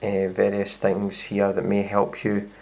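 A Scottish man talks through a laptop demo in a low voice. His speech is flat and gravelly, and he stops often for fillers and restarts. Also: unhurried, low-quality recording. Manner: relaxed, with many fillers and restarts. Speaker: tech reviewer. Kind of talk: casual hands-on demo. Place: indoors at a wooden table. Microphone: handheld camera filming a laptop screen.

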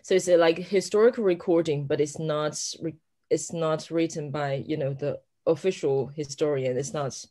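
A woman's voice: low voice, steady tone, moderate pace, mellow. A woman, an author guest on a podcast over a video call, talking tentatively and laughing easily. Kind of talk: casual interview chat. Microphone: home mic on a video call.

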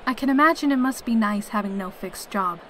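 A woman's voice: on the high side, brisk, a bit bright, a little hiss or hum, close to an everyday voice. A woman voicing a carefree, teasing female pegasus soldier in a fan audio drama. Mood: casual, blunt. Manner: breezy, teasing, cheerful.

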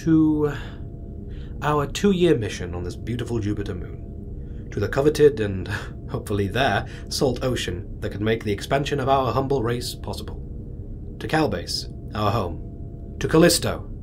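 An actor playing an easygoing mission commander in a science fiction audio drama, speaking informally and with some hesitation. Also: male voice, medium pitch, animated, measured pace, noisy background, natural voice. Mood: convivial. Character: easygoing male mission commander of a space crew.

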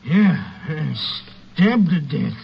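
An actor playing a gruff, dutiful, commanding railroad detective in an old radio drama. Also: male voice, on the high side, very animated, extremely slow, heavily acted. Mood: grim, businesslike. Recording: muffled, noisy old radio recording.

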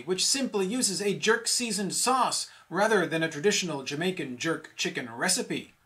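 A man's voice: sits high, pushed and bright. A man delivering a scripted satirical news bulletin as an anchor.

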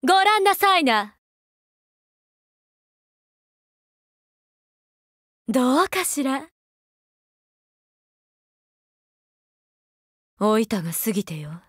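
High-voiced, doting, seductive mother figure. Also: very animated, brisk, fairly bright tone, no room sound, studio quality, exaggerated voice. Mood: playful, commanding. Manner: coaxing, breathy-whispering at times, archaic feminine phrasing. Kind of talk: Japanese mobile game character voice lines.

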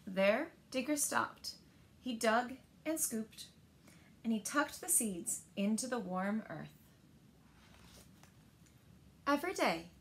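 A woman in her thirties reading a picture book aloud to children in a gentle, deliberate manner, pausing to ask them questions. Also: on the high side. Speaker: library storyteller. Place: indoors, small living room by windows.

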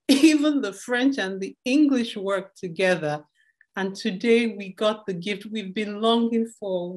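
A young woman reading a rhyming story aloud in an expressive storytelling style. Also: medium pitch. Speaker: poem reader. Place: home room indoors.

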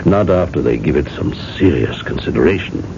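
An enigmatic man who sells eternity in a radio play. His voice is extremely deep and gravelly, unhurried and quietly amused. It comes through a dull old recording. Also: expressive, slight background noise, heavily acted. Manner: measured, enigmatic, gently amused. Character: mysterious unhurried male seller of eternal life. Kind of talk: 1980s radio drama acting.

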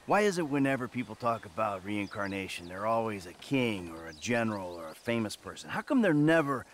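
A man's voice: fairly low pitch, sing-song, a little fast, a little hiss or hum. An actor playing a sarcastic, exasperated man in a video game cutscene, talking like a doubtful everyman. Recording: music under the voice.

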